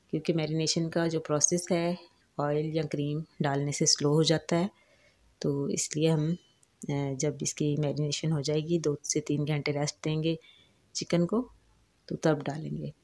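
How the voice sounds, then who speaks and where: Woman walking thru a recipe step by step, low mellow voice, calm n patient. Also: unhurried. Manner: calm, instructive, explains each step. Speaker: cooking channel host. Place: home kitchen.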